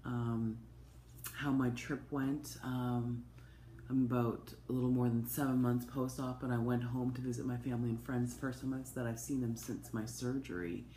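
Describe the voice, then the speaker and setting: A woman, hoarse from a cold, giving a chatty, open personal update to camera with plenty of ums and asides. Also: rumbling low, level tone, moderate pace, warm tone. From Canada. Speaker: vlogger. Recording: echoey room with faint noise.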